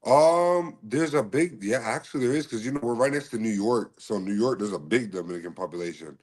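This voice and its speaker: A man from Massachusetts answering interview questions in a polite, easygoing way, often saying "yes sir" and pausing on fillers. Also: on the low side, animated. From Massachusetts, USA. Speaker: podcast guest, slap fighter and bodyguard.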